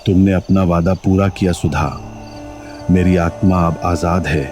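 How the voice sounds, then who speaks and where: A man's voice: low-pitched, steady tone, a little slow. A man playing the solemn, earnest ghost of an honest village milkman in a Hindi cartoon story. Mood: grateful, calm. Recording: music behind the voice.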